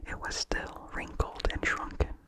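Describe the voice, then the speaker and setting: A person reading a vintage horror story as ASMR in an unvoiced whisper, slowly and deliberately, in short phrases with pauses. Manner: slow, deliberate, pausing between short phrases. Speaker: narrator.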